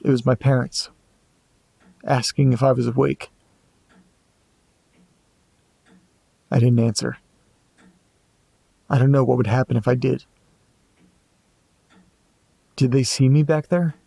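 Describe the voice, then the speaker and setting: A man playing an anxious amateur investigator in a horror audio drama, muttering hesitantly to himself in a gravelly voice. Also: mid-range voice, steady tone, normal speed, long pauses, warm tone, no room sound. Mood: uneasy, hushed. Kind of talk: audio drama monologue, reading and musing aloud.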